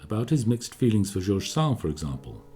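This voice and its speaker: A man playing a confiding, measured storyteller narrating history in a scripted radio play. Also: lowish voice, very animated, dark tone. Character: discreetly gossiping male narrator recounting a composer's history. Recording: studio radio play with music behind. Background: music.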